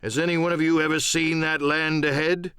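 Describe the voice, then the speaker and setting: A man's voice: a little high, level tone, a little fast, sharp tone, smooth, no room sound, studio quality, pitched above the performer's own voice. A man playing an authoritative ship's captain in an audiobook drama, clipped, commanding and formal. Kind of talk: audiobook radio-play acting.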